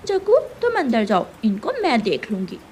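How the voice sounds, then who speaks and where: A woman's voice: on the high side, wildly swooping pitch, moderate pace, a little hiss or hum. A woman voicing a bossy, threatening mother crow with a curt, scolding manner in a children's cartoon. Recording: loud rain and thunder behind.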